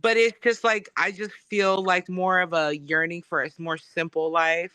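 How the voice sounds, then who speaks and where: A woman's voice: lowish voice, little pitch movement, normal speed, sharp tone, clean tone, no room sound. A woman from Samoa hosting a casual podcast talk, effusive and spiritual, with lots of fillers. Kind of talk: casual conversation.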